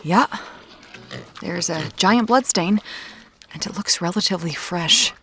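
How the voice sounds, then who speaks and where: An actress playing a determined, blunt amateur detective in an audio drama, matter-of-fact and wry. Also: female voice, fairly low pitch, unhurried, sharp tone. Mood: wry, focused.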